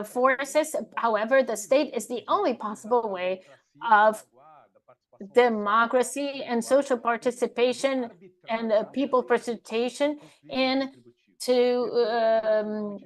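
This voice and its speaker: A woman simultaneously interpreting a panel speaker into English, in halting, fragmentary phrases as she keeps pace with him. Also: fairly high pitch.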